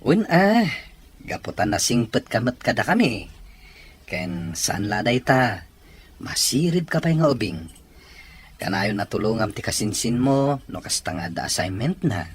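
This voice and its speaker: Man playing an animated Ilocano uncle. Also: medium pitch, a little slow, breathy edge. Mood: kindly, encouraging. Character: kindly, generous uncle. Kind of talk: Ilocano audio drama acting.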